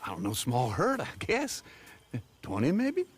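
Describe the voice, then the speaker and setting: An actor playing a wheedling older American outlaw, a lazy good-natured schemer in a video game, raspy, folksy and theatrical. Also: male voice, on the high side, huge pitch swings, unhurried, full character voice. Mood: reluctant, bargaining. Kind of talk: video game cutscene acting.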